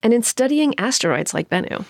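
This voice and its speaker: A woman's voice: a little high, very animated, a little fast, gravelly, no echo, clean studio sound. A young adult woman hosting a science podcast, upbeat and conversational. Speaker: podcast host. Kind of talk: podcast interview.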